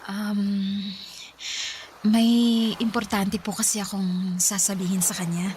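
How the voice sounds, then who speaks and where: An actress playing an earnest, forgiving grown daughter in a radio drama, with a polite, halting delivery. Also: female voice, mid-range voice, little pitch movement, darkish tone, a little hiss or hum, natural voice. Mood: nervous, hopeful. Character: earnest, forgiving grown daughter seeking her long-lost mother. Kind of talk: Tagalog radio drama acting. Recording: music under the dialogue.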